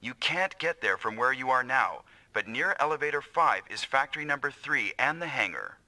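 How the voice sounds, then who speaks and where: An actor voicing a dutiful, earnest military operator in an anime-style video game, with crisp briefing delivery. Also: male voice, medium pitch, expressive, moderate pace, sharp tone, rough recording. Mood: businesslike. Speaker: operations and communications officer.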